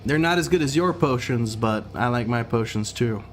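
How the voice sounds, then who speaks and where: A man with an American accent chats loosely with his viewers while he plays, reading their messages aloud, stumbling over his words and starting sentences over. His voice sits at an ordinary middle pitch, with faint music playing behind him. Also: moderate pace. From Croatia. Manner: relaxed, rambling, reads chat aloud, stumbles and restarts. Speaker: streamer. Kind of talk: casual chat with viewers while gaming.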